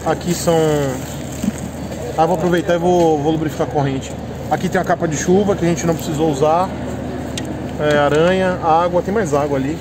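A man's voice: fairly high pitch, steady tone, brisk, noisy background. A man in his thirties from Brazil chatting casually and joking with viewers while on a motorcycle road trip outdoors, recorded on an action camera. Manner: joking, laid-back, talks to viewers. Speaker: motorcycle vlogger. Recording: music playing behind.

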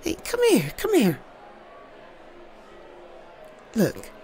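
A British woman playing an affectionate, slightly shy girlfriend in an intimate roleplay, speaking softly and hesitantly to her partner. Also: fairly high pitch. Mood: gentle, affectionate. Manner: soft, hesitant, tender, with halting repeats.

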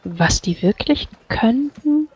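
A woman's voice: fairly high pitch, animated, takes it slowly, husky, heavily acted. A woman playing a brusque, pragmatic member of an order in a tabletop roleplay, blunt and matter-of-fact. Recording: slightly dull voice-chat sound, music behind.

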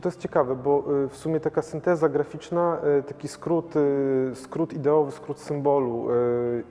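A man in his thirties, a painter, answers interview questions thoughtfully, with many pauses, fillers and restarts. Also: a little high, steady tone, mellow. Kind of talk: gallery interview. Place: large gallery hall with paintings. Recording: long hall reverb, faint noise.

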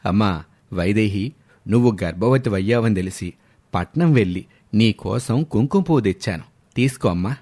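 A man voicing a kindly, doting older male relative in a family story, speaking gently and affectionately. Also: lowish voice, expressive, normal speed, pitched below the performer's own voice.

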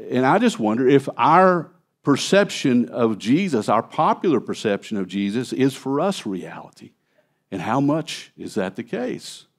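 Conversational man in his fifties preaching. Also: mid-range voice. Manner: conversational preaching, animated gestures at a lectern. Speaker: pastor. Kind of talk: expository sermon. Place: church stage, large indoor hall. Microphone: headset mic.

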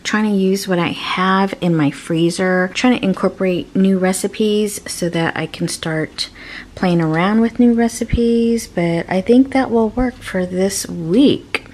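A woman's voice: medium pitch, unhurried, a little mellow. A woman narrating a casual cooking vlog in a chatty, informal, playful way. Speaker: home cook vlogger. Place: home kitchen, indoors.

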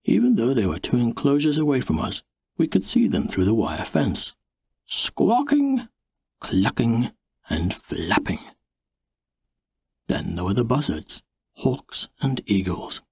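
A man narrating an audiobook in an expressive, dramatic storybook style. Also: mid-range voice, slow pace, vocal fry, long pauses, slightly rough, dry recording.

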